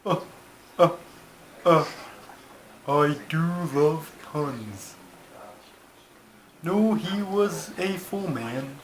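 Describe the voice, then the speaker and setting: A man voicing a long-winded, earnest turtle puppet telling stories in a comic skit, halting and drawn-out, on a noisy home recording. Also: fairly high pitch, animated.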